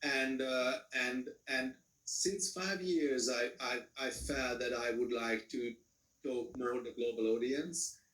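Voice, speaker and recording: A man with a Hungarian accent who speaks English as a second language, answering as a film director in a panel Q&A, halting and repeating words. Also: mid-range voice, steady tone, a bit bright, distant mic. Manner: halting, repeats words, non-native phrasing. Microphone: video call. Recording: roomy video call audio from off-mic.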